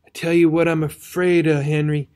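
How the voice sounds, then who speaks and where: A man's voice: fairly high pitch, flat delivery, normal speed, a little mellow, natural voice. A man reading a storybook, voicing a frightened, wounded young male soldier who begs his friend in a rustic drawl. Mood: fearful. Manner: rustic drawl, begging and shaky.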